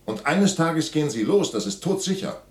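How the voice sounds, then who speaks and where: An actor playing an animated, politically worried man in radio drama dialogue, recorded in a roomy space. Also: on the high side, normal speed. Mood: animated, insistent. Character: animated, politically worried former student acquaintance.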